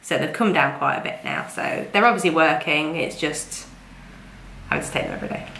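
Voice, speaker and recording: A woman's voice: low voice, brisk, sharp tone, slight background noise. Stuffy-nosed woman chatting to camera. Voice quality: stuffy nasal voice from a cold. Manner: chatty and rambling, many ums and likes. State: congested with a cold. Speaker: vlogger. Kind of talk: casual chat to camera. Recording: echoey room.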